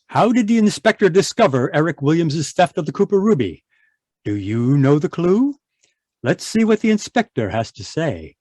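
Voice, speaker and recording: A man's voice: fairly high pitch, sing-song, moderate pace, a little mellow, no room sound, clean studio sound. A man narrating and announcing a radio mystery in old-time style.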